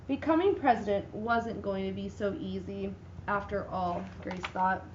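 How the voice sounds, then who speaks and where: A woman giving a dramatic reading of a children's picture book, with lively, expressive delivery and voices for the characters. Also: on the high side, echoey room, dull audio, a little hiss or hum. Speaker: reader.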